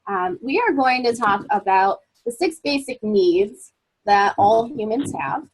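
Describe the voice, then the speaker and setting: A woman's voice: fairly high pitch, animated, a little slow, slight background noise, low-quality recording. A woman presenting a motivational webinar as a life coach, encouraging and upbeat, sounding a little froggy as she gets over a cold.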